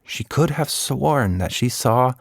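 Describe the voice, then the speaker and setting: A man's voice: medium pitch, animated, slow pace, gravelly, no room sound, studio quality. A man narrating a scripted children's story as a podcast storyteller.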